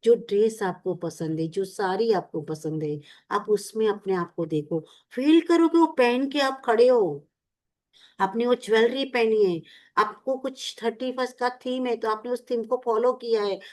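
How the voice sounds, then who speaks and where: A woman's voice: a little high, measured pace. Woman in her 50s doing a guided visualization, coaxing and chatty, on the bits. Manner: warm, coaxing, repeats "yaar" often. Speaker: self-help teacher.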